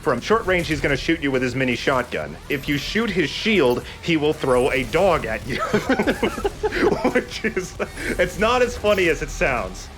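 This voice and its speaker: A man's voice: fairly high pitch, big pitch swings, unhurried, over music. A man commentating a video game casually, explaining things with mock-announcer flourishes. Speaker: let's play host and gamer.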